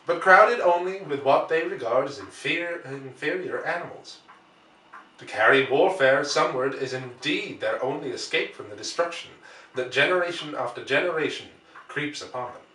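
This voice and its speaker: A man reading a classic novel aloud as an audiobook narrator, with deliberate phrasing and dramatic emphasis. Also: on the high side, sharp tone. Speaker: audiobook reader. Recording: roomy, echoey, distant from mic.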